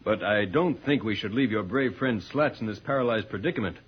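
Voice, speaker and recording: A man's voice: fairly low pitch, a little hiss or hum, natural voice. Muffled old-radio noble spaceman hero, played by a man. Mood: calm, courteous. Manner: formal, measured, declamatory. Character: noble, commanding alien spaceman hero, calm and courteous. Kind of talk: 1950s radio serial acting.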